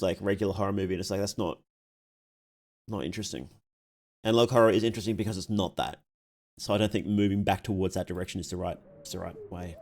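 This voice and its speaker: A man hosting a livestream, giving casual reaction commentary in a slangy, jokey, blunt way. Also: fairly low pitch. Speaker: livestream host.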